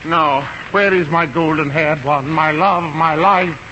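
An actor in a 1950s radio comedy playing an effusive rug-selling suitor, with a gushing, theatrical delivery. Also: male voice, a little high, a little slow, natural voice. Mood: adoring. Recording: muffled, noisy old radio recording.

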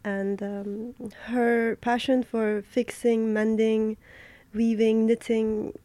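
A young woman from Turkey, an artist answering interview questions in a thoughtful, halting way, with many fillers and restarts. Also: on the high side, little pitch movement, mellow, no echo.

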